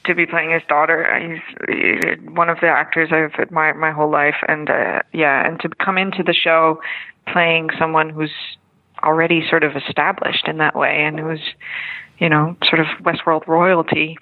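A Dutch-born actress answering interview questions in a hesitant way, with many fillers and restarts. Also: female voice, deep voice, little pitch movement, normal speed. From Netherlands. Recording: tinny phone-like line.

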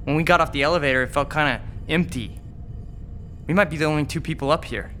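An actor playing a wisecracking, irritable young boyfriend trapped in an apartment, in an audio horror drama. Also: male voice, on the high side, quick pace, a bit bright, faint music behind, natural voice. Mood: uneasy, resigned. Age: young adult. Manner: wisecracking, grumbling.

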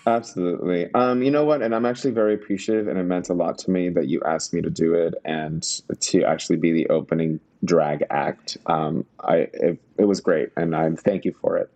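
A young adult man from Miami, a drag performer guesting on a podcast, chatting playfully with campy quick wit. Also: low voice, steady tone, darkish tone. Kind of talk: casual chat.

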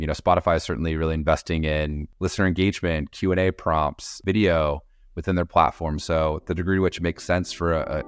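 Very deep-voiced man, measured business talk. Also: dry recording, studio quality. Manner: measured, businesslike, with frequent "you know" fillers. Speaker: podcast company executive, guest. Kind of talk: interview answers.

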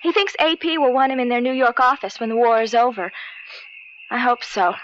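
An actress playing a cheerful, trusting grown daughter in a radio drama, with a sweetly expressive manner. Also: female voice, fairly high pitch, fairly quick. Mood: earnest. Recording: dull, noisy old radio drama recording.

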